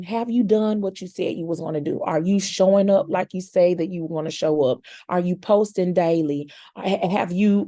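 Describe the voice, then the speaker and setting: A woman with a slightly hoarse, raspy voice, coaching her audience in a chatty, encouraging way with informal slang. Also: lowish voice, mellow, no room sound. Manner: chatty, encouraging, repeats words for emphasis. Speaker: content coach. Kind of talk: casual coaching talk.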